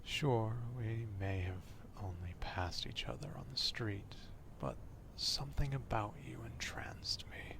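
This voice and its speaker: A man playing an obsessive, old-fashioned vampire stalker in an ASMR roleplay, speaking formally in a hushed half-whisper, close to the microphone. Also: fairly low pitch, background noise. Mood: smitten, creepy. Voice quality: hushed, breathy half-whisper with gravelly fry. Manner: slow, deliberate, formal archaic wording.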